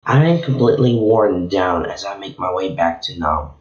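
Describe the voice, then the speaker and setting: A man reads a story aloud, his very low voice hoarse and strained from a sore throat. His reading is halting and casual, with frequent slips. The recording sounds muffled and lo-fi, with a noticeable echo from the room. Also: expressive. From Venezuela. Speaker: channel host reading a story. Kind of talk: reading a fan novel chapter aloud. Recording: echoey room, dull lo-fi sound.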